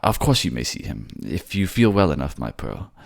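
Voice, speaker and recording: A man's voice: a bass voice, very animated, normal speed, creaky, full character voice. A man voicing an empathetic, teary merman advisor who is tender, earnest and fussy, in a fantasy audio drama. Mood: gentle, careful.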